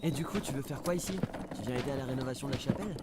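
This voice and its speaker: Eager young village guy, very quick and awkward, acted for an audio drama, light background ambience. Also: mid-range voice, noisy background, close to an everyday voice. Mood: cheerful, chatty. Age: young adult.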